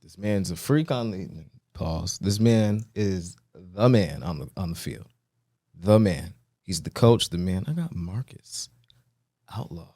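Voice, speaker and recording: A man's voice: low voice, expressive, a little slow, mellow. An American man hosting a casual podcast interview in a studio, speaking in informal, playful street slang. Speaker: podcast host.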